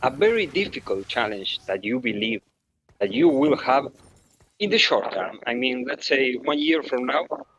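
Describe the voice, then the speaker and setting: A man's voice: mid-range voice, animated, normal speed, fairly bright tone, slight background noise. A man from Argentina with an Argentine Spanish accent, a non-native English speaker politely asking a question at an online town hall in a friendly, courteous way. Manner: friendly and courteous, with light laughs and restarts. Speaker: audience member asking a question.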